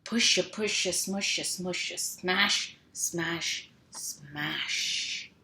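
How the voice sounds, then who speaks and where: A woman reading a picture book aloud to young children in a sing-song, animated way, stretching sound words and asking simple questions. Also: lowish voice, drawn-out words. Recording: roomy echo, clear background noise.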